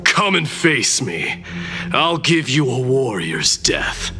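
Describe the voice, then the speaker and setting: An actor playing a proud, stern warrior prince in a video game, curt and menacing. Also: male voice, mid-range voice, big pitch swings, slow pace. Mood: cold, challenging. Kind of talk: scripted video game voice acting. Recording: loud game music behind.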